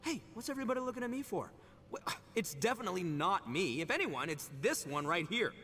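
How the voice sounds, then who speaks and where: A man's voice: sits high, very animated, fairly quick, pushed and bright, exaggerated voice. A man voicing a brash, goofy young gang boss in a video game, blustering, stammering and full of slangy exclamations. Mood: defensive. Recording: long hall reverb, light music behind.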